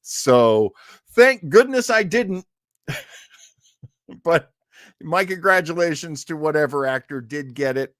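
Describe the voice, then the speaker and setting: A man's voice: on the high side, expressive, slow pace, pauses often, fairly bright tone, dry recording, studio quality. A man hosting a casual live online show, talking to viewers in a chatty, jokey manner. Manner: chatty and jokey, often chuckling. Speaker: actor. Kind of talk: casual livestream chat to viewers.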